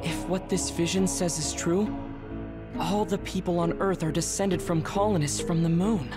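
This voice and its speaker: A woman's voice: lowish voice, steady tone, normal speed, music in the background, natural voice. A woman playing a cool, skeptical young soldier in a video game, composed and matter-of-fact. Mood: cool, analytical. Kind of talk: scripted video game voice acting.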